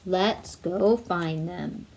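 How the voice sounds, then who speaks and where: A woman voicing a kindly, jolly Santa in a storybook, deliberate and commanding in delivery. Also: lowish voice, darkish tone, slight background noise, voice pushed down, low-quality recording. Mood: warm, kindly.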